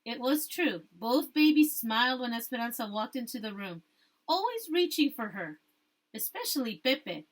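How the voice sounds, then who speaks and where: A woman teacher reading a storybook aloud, rereading stumbled phrases and pausing to explain things to her listeners. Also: a little high, very animated.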